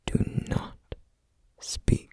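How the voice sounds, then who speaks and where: A man playing a protective, duty-bound flame demon in an ASMR roleplay, speaking in a hushed, gravelly, halting and intense way. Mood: urgent, protective. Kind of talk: ASMR roleplay, scripted character acting.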